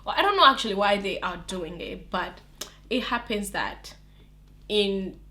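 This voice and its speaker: A woman's voice: mid-range voice, expressive, a little slow, bright, forceful tone. A young woman with an accent, chatting animatedly to her camera, laughing often and restarting her sentences. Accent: non-native English accent. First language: English counts as mother tongue, not from England or America. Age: young adult. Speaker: vlogger. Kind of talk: casual talk to camera.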